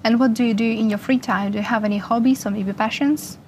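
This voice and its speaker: A woman's voice: a little high, fairly even intonation, quick pace, slight background noise. A woman hosting an interview program, asking careful, friendly questions in non-native English. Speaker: interview host.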